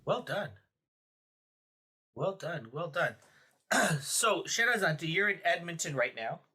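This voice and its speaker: Male podcast host, bright and slightly high, encouraging interviewer. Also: very animated, a little slow, husky. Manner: encouraging, asks follow-up questions. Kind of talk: casual family interview.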